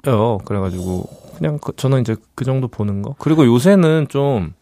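A man with a Gyeongsang accent, a radio guest chatting casually, easygoing and modest and quick to laugh. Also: medium pitch, expressive, vocal fry, warm tone, no room sound, clean studio sound. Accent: Gyeongsang dialect.

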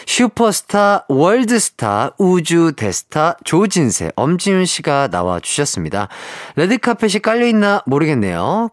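A man's voice: on the high side, no room sound. A man hosting a radio show in a studio. He speaks in a friendly, polite and upbeat way as he reads out listener messages and greets his guests. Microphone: studio microphone.

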